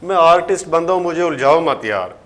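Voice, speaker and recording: Guy on a phone call, fairly high voice, fed up and blunt, keeps challenging the caller. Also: animated, brisk, a bit bright. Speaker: singer, prank call target. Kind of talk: casual phone argument.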